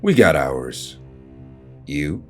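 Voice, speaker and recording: A man's voice: extremely low pitch, sing-song, very slow, fairly bright tone, faint music behind, heavily acted. A man playing a wary, terse gangster making a deal in an audio drama.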